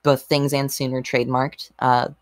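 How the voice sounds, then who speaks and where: A woman chatting casually on a group podcast in a halting, deadpan, playfully cryptic way. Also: very deep voice, dry recording, studio quality. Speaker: podcast panelist.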